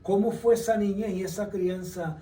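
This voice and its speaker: High-voiced male show host, roomy. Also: steady tone, normal speed, warm tone. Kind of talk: interview hosting. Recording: roomy, distant mic.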